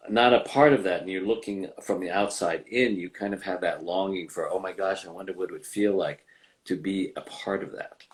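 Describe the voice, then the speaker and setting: A man, a voice actor guest, talking casually with frequent pauses, fillers and restarts. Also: deep voice, fairly even intonation. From United States. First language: English. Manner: hesitant, many fillers and restarts. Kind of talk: casual conversational interview.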